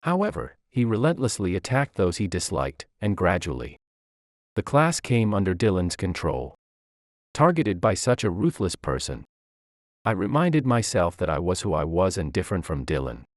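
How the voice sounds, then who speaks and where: A man's voice: deep voice, dry recording, clean studio sound. A man reading a scripted dramatic story as its narrator.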